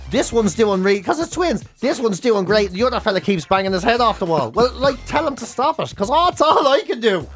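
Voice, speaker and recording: An Irish man in middle age with a lively, swooping delivery, talking as a radio co-host. He rambles through casual comic banter with mock indignation, over a music bed. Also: sits high, fairly quick, few pauses. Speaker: radio show host and podcast co-host.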